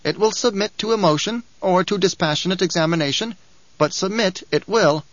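A man's voice: high voice, a little fast, no echo, dull audio, voice pushed up, close to an everyday voice. Bijaz, a man doing a whining, spiteful dwarf. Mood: taunting. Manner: whining, giggling, taunting. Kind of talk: audiobook character reading.

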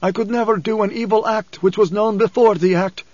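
A man voicing an anguished older blind father, a weary desert prophet in an audiobook, with a husky, trembling, solemn delivery. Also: high voice, fairly even intonation, brisk, a little mellow, pitched above the performer's own voice. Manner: solemn, dramatic, formal speech. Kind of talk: audiobook character acting. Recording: dull cassette audiobook, roomy echo.

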